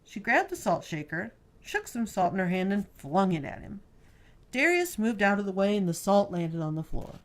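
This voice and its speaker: A woman's voice: mid-range voice, big pitch swings, normal speed. A woman storyteller reading scripted fiction narration aloud.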